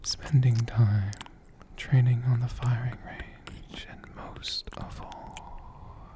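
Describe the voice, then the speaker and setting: A man voicing an ancient, gentle, affectionate dragon in soft-spoken whispered ASMR, lingering over words and softly repeating them. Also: mid-range voice, flat delivery, very slow. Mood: soft, soothing. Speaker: ASMR host answering viewer questions. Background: computer keyboard typing.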